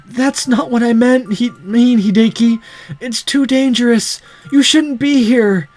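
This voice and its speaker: A man's voice: very high-pitched, measured pace, darkish tone, faint music behind, voice pushed up. A man voicing a desperate, protective young woman held captive in a visual novel, pleading urgently. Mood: anxious.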